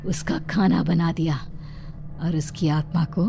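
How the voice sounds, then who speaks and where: A woman's voice: low voice, steady tone, unhurried, creaky, warm tone. A woman voicing a bloodthirsty, vengeful female ghost in a horror cartoon, dramatic and gloating.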